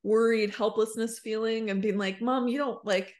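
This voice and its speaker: A woman in her thirties talks casually as a podcast co-host. Her voice sits fairly high, and she acts out bits of conversation as she tells them. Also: steady tone, moderate pace, darkish tone. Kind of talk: casual chat. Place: indoor room on a video call.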